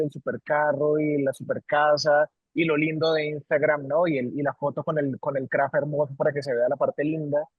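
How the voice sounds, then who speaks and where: A man's voice: fairly high pitch, slightly rough, no echo, muffled recording. A man with a Colombian accent, a company leader answering interview questions in an earnest, reflective way, often repeating words and ending sentences with "¿no?". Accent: Colombian Spanish. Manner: earnest, repeats words while searching for them, ends lines with "¿no?". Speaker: guest, company CEO.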